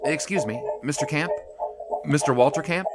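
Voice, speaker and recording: An actor playing a wry young 1920s sportswriter who narrates in the first person. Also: male voice, a little high, moderate pace, loud music behind the voice, close to an everyday voice. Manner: wry and breezy.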